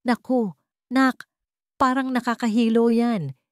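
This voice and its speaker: A woman's voice: fairly high pitch, steady tone, takes it slowly, dry recording, clean studio sound, voice pushed up. A woman voicing a shy, humble single father who talks hesitantly. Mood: bashful. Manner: bashful, hesitant.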